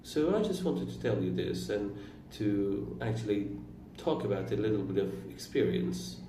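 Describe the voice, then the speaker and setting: A man in his twenties whose first language is Turkish, talking slowly about his own life in a halting way, pausing and correcting himself. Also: lowish voice, lively intonation. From Iran. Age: 20s. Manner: slow, halting, with pauses and self-corrections. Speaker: vlogger. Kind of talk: reflective personal monologue. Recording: roomy, distant mic with faint room noise.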